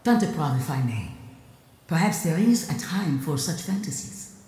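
Casting: loyal female royal adviser in fantasy game. Soft dark tone, deferential, persuasive, very roomy sound. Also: low voice, expressive, measured pace. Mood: loyal, encouraging. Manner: respectful, formal, gently persuasive. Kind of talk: video game fantasy drama acting. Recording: very echoey large room.

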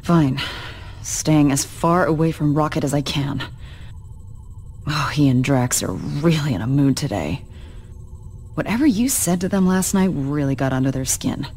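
Guarded female warrior, deep-set and deliberate, curt lines. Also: lively intonation, darkish tone, slight background noise. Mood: dry. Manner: terse, dry, guarded. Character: stern green-skinned female warrior, former assassin. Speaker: game character.

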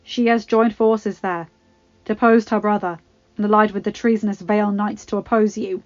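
A woman's voice: a little high, fairly quick, lo-fi sound, voice pushed up, lightly acted. A woman doing an oily scheming courtier. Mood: nervous. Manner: oily, ingratiating. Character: scheming smooth-tongued male courtier.

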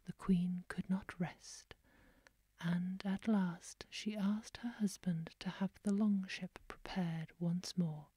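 A woman whispering a fairy tale aloud in a soft ASMR style, close to the microphone. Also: medium pitch, slightly rough, dry recording. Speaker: storyteller.

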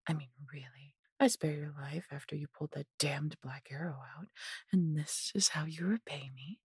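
A woman voicing a possessive, haughty yet doting female dragon in an intimate audio roleplay, condescending and theatrical, breathy and husky, often dropping to a whisper. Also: deep voice, steady tone, moderate pace, a little mellow, dry recording, studio quality, heavily acted. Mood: menacing, scornful. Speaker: voice actor.

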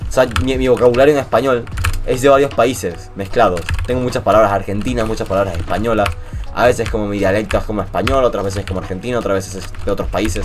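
A young man from Venezuela with a mixed Latin American and Spanish accent, commenting casually over his gameplay in an animated, swearing, joking rant. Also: fairly low pitch. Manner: animated ranting, swears, jokey. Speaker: gaming YouTuber.

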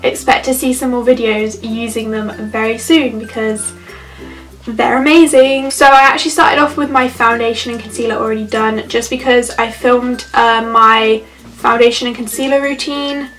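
A woman's voice: a little high, fairly even intonation, moderate pace, fairly bright tone, faint music behind, rough recording. A young woman chatting through a makeup tutorial, sounding a bit stuffy from a cold. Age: young adult. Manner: chatty, bubbly, lots of "so yeah". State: congested from a cold. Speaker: beauty vlogger. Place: bedroom with clothes rail.

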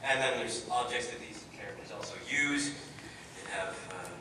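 A man's voice: on the low side, normal speed. A man actor joking on a convention panel and acting out little quotes. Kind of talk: casual panel talk. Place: large convention hall, audience. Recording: echoey hall from far off, noisy.

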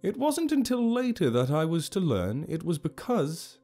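Storybook elder, played by a male narrator: grand and cheerful, raised pitch, slow ceremonial oratory, music underneath. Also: huge pitch swings, dark tone. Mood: reminiscing, grand. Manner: slow, oratorical, emphatic delivery. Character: grand, cheerful old leader addressing his gathered tribe.

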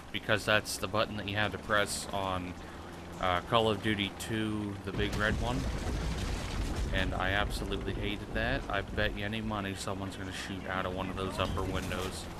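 A man's voice: lowish voice, noisy background. A man hosting a gaming channel, talking casually over gameplay with a stuffy nose, rambling and swearing at the game. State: stuffy nose from sinus trouble. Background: game gunfire and vehicles.

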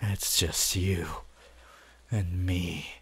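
Guy doing a young anime villain for asmr roleplay, super slow n low, possessive, gloating, menacing. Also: fairly even intonation, breathy edge, heavily acted. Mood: commanding, fierce. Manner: slow, menacing, swelling from whispers to shouts.

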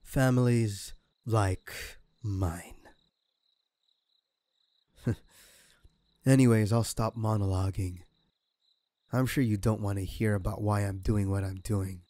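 A vengeful male kidnapper acted by a man in an audio roleplay, speaking slowly in a mellow, close, dry voice. He is smug and mocking, drawing out his threats theatrically. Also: fairly low pitch, steady tone, dry recording. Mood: bitter, menacing. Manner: mocking, theatrical, drawn-out delivery. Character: male villain crime kidnapper, smugly menacing and vengeful. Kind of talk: scripted ASMR audio roleplay.

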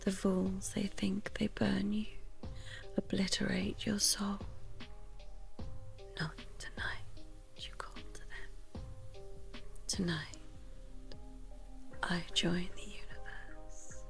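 A woman voicing a vengeful witch spirit, chanting curses slowly in a breathy whisper, in ASMR horror storytelling style. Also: medium pitch, level tone, soft background music. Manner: slow incantatory chanting.

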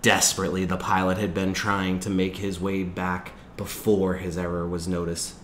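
A man reading a novel aloud as a teacher, in expressive storytelling narration. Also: sits low, moderate pace, slight background noise. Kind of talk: expressive audiobook-style narration.

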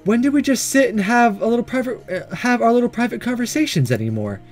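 A coy, flirtatious woman principal from a romance game, read out by a man, a little wounded. The delivery is halting and lively in pitch, with music in the recording. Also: full character voice. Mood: wistful.

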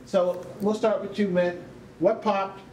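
An older man with a fairly high voice hosts a live event on stage. He talks off the cuff and with animation to guests and audience. The room echoes around him. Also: level tone, measured pace, darkish tone. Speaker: event host, researcher. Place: indoor rehearsal hall, on stage. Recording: echoey room, faint background noise.

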